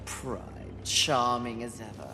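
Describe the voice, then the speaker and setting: A woman's voice: extremely low pitch, takes it slowly, noisy background. A woman playing a fierce, sardonic apocalyptic warrior in a video game, with a cutting, defiant manner. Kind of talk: video game cutscene acting. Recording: echoing voice over game music.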